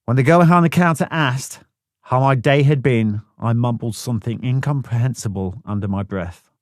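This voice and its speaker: A man's voice: medium pitch, normal speed, no room sound, studio quality. A man narrating a first-person memoir audiobook in a blunt, plain-spoken way, swearing freely.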